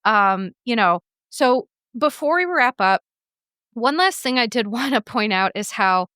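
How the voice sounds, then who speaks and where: A woman hosting a podcast in casual chat, outspoken and animated, swearing freely. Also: a little high, unhurried, smooth, no room sound, clean studio sound. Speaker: podcast host.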